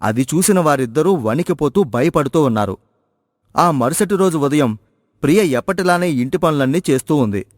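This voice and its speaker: A man's voice: a little high, animated, normal speed, no echo. Man narrating a story, dry close sound.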